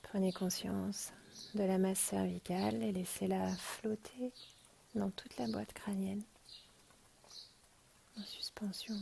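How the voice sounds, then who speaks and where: Very slow, hushed woman guiding relaxation. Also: medium pitch, fairly even intonation, slight background noise. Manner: hushed, breathy, half-whispered, soothing delivery. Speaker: yoga nidra teacher. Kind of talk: guided relaxation meditation. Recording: rain and birdsong behind the voice. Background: rain and birds.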